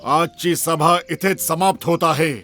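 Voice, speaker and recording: A regal bird king for an animated children's story. He speaks formally and with command, a worried father on a throne, in a slightly high male pitch over clear background music. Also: unhurried.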